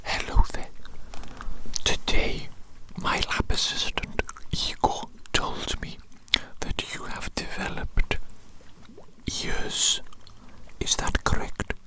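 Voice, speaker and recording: A performer whispering an ASMR roleplay as a doting, rambling evil scientist, with breathy, repetitive, grandiose and formal phrasing. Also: slow, pauses often, lightly acted, low-quality recording. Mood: doting, intrigued. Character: evil mad scientist doctor, doting, rambling, existentially brooding creator.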